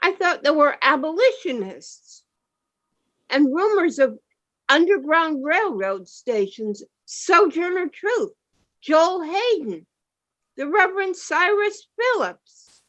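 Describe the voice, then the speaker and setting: A woman's voice: extremely high pitch, very animated, slow, fairly bright tone, highs cut off, exaggerated voice. A woman playing a present-day family member, speaking in an earnest, questioning way. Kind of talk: community radio play acting.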